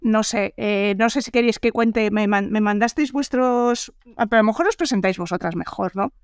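A woman hosting a casual radio interview, chatty and friendly, often pausing with fillers. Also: mid-range voice, clear, resonant tone, no room sound, studio quality. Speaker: radio show host.

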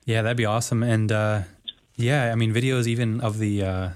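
Low-voiced male radio host. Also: little pitch movement, darkish tone, clear, resonant tone. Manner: measured, hesitant with fillers. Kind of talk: community radio interview. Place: radio studio.

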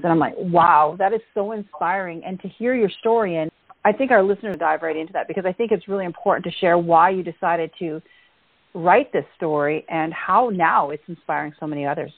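A lowish, husky woman hosts the interview and praises her guest effusively. The sound is dry and thin, as if over a phone line. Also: no room sound. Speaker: podcast host. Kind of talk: interview hosting.

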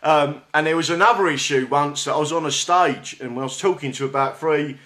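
A man's voice: fairly high pitch, a little fast, sharp tone. A man with a South London accent hosting a radio show, talking in a casual, hesitant way with many restarts. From England. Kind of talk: casual interview chat. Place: radio studio. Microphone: studio mic with big headphones.

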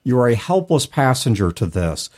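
A man's voice: medium pitch, very animated, normal speed, a little mellow. A man hosting a casual podcast discussion about tabletop gaming, explaining at length in a reflective way with hedging asides. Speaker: podcast host. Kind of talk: casual discussion of tabletop role-playing games.

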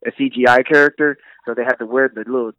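A man in his thirties calling in to a podcast, talking in a relaxed, easygoing way. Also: mid-range voice, fast, no room sound. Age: 30s. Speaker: podcast guest on a phone call. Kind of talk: casual phone chat. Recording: dull dry phone-call audio.

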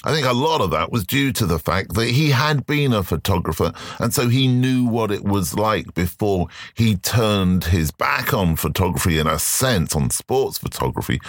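Man, podcast host, voice deepened by illness, low, animated intonation, reflective, measured advice. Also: dry recording, clean studio sound. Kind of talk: solo podcast monologue.

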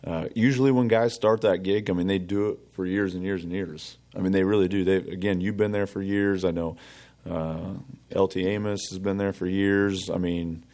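Male podcast host, low and mellow, affirming, conversational interviewer. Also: steady tone, normal speed. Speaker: podcast host, police officer.

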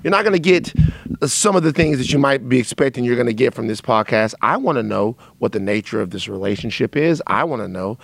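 Raspy, gravelly-voiced man hosting a podcast. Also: mid-range voice, expressive, brisk, no room sound. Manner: candid, rambling, with frequent um and uh. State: dry mouth, dry throat. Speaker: podcast host. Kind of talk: casual monologue to listeners.

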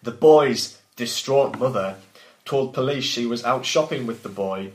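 A man's voice: mid-range voice, measured pace. Man reading his own story in a roomy echo. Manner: breaks off from reading to banter. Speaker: podcast host and author reading own work. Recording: roomy echoing sound.

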